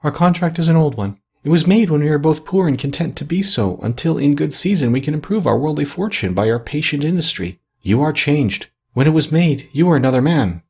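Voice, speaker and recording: Soft-spoken young woman, gentle, sorrowful, steady, lifted husky voice, as a male narrator puts her on. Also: a little high, brisk, hardly any pauses, pitched above the performer's own voice. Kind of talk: audiobook character voice.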